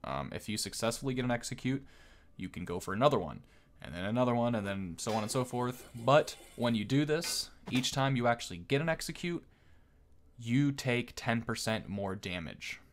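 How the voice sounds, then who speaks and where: A man's voice: mid-range voice, expressive, normal speed. A man hosting a gaming video with casual, off-the-cuff gameplay commentary.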